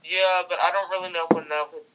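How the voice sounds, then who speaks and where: A young man with a strikingly bright, forceful voice pitched a little high. He chats informally as a co-reviewer, agreeable and relaxed in his wording. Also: fast, poor audio. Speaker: guest co-reviewer. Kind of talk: casual chat reviewing a film.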